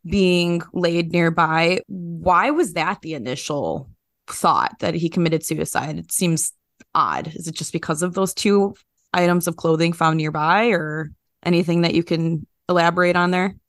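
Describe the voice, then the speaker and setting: A woman's voice: fairly low pitch, measured pace, dry recording, clean studio sound. A woman hosting a podcast, asking conversational, wordy, inquisitive questions of her guests. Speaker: podcast host, interviewer.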